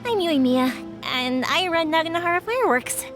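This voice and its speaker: An actress playing a bubbly, warm-hearted young woman in a video game, friendly, enthusiastic and chatty. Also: very high voice, expressive, normal speed. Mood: warm, excited. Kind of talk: English video game voice acting. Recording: music playing behind the voice.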